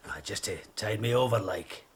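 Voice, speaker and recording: An actor playing a rough, sly old Scottish sailor in an audio drama, muttering his yarn hoarsely with sudden swells. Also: on the low side, normal speed. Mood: sly, threatening.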